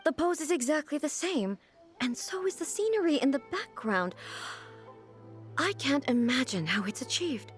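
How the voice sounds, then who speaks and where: An actress playing a calm, formal female ruler goddess in a video game, speaking in a measured, reflective way. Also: high-pitched, soft background music. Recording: game soundtrack under voice.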